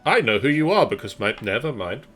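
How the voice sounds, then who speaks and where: A man's voice: medium pitch, big pitch swings, fairly quick, a bit bright. A man giving wry, teasing casual commentary as co-host of a game stream. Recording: game music behind.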